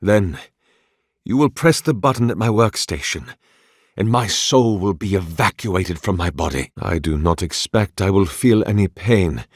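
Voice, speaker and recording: A tormented, devout zealot inventor for a fantasy video game. His voice sits a little low and moves very deliberately, with long, weighty phrasing. The delivery is formal and theatrical, grave with obsession, and captured dry and close. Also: no room sound. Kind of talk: dramatic video game voice acting.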